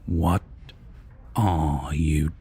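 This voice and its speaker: A man playing a menacing, condescending vampire captor in a roleplay audio drama, talking in a mocking, deliberate way with husky, breathy whispers. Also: very deep voice, steady tone, mellow, exaggerated voice. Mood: menacing, accusing. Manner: slow, deliberate, mocking, formal phrasing.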